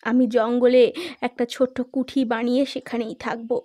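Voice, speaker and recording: A gentle, dutiful lady of a village house, played by a woman in a cartoon drama. Her voice is high and earnest as she gives instructions, recorded dry with no room sound. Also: little pitch movement, normal speed, dry recording, lightly acted. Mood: resolved sadness. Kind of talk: dramatised horror cartoon dialogue.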